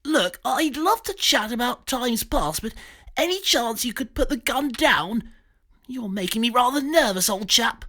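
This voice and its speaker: High-pitched pig voice, nervous, fussy, posh British chap, bright tone, swooping delivery. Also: male voice, animated, unhurried, full character voice. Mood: uneasy. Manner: fussy, jittery, posh exclamations.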